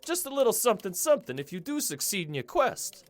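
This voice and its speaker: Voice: medium pitch, big pitch swings, quick pace, a little hiss or hum, close to an everyday voice. An actor voicing a disheveled, grateful orc mayor in a fantasy audio drama. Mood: eager, obliging. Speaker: quest-giving mayor.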